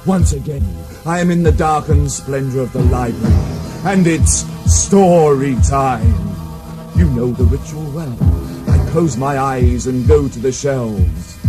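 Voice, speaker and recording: Theatrical guy host doing story time, drawn-out delivery, music behind. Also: medium pitch, expressive, rough recording. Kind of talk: comic radio introduction.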